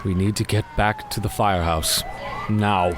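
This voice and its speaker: A man playing a serious, precise scientist ghost hunter in an audio drama, speaking formally and gravely. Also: on the low side, fairly even intonation, moderate pace, noisy background, natural voice. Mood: urgent.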